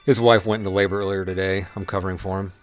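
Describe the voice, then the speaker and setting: Man as a deep-voiced laconic small-town sheriff. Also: steady tone, racing, faint music behind, close to an everyday voice. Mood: wry, flirtatious. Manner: laconic, wry. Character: easygoing, flirtatious male small-town sheriff, dry-witted. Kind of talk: radio drama acting.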